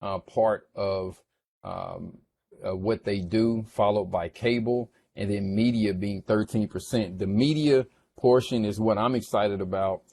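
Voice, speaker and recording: A man giving an informal, explanatory financial presentation in a halting manner, often checking in with the listener. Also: fairly low pitch, steady tone, a little mellow. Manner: halting, checks in with "right?" and "okay?". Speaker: investment presenter. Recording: clearly noisy background ambience.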